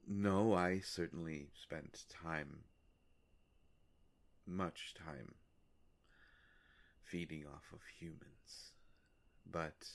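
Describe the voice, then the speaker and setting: A man playing a courteous, world-weary immortal vampire in an intimate roleplay monologue, musing politely and haltingly with long pauses. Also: deep voice, exaggerated voice. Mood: musing, hesitant.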